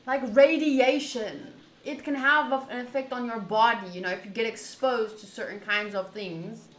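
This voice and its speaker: A woman streaming a visual novel, reading text aloud and thinking out loud in an animated, rambling way. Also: on the high side, moderate pace. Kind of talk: reading game text aloud with casual commentary. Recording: roomy echo, faint background noise.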